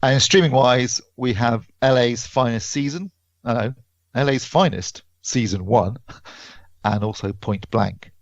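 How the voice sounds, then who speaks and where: A man co-hosting a podcast, chatting casually and haltingly about films, thinking aloud as he goes. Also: medium pitch. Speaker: podcast co-host and film reviewer. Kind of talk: casual film release chat. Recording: dull, roomy lo-fi podcast audio.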